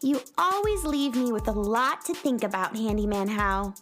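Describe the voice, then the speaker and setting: High-voiced, plucky little space girl. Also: lively intonation, normal speed, a bit bright. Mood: puzzled. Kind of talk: children's radio comedy acting. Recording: music behind the voice.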